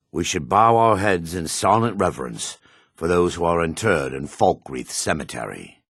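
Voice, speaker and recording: An actor playing a gentle, pious and remorseful dark elf priest as a video game companion, speaking in a measured, earnest, formal way. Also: male voice, low voice, breathy edge, dry recording, clean studio sound, full character voice. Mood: somber, cautious.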